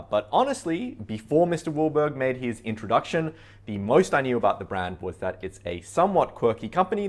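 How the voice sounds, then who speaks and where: A man presenting a product review to camera for a store. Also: on the low side.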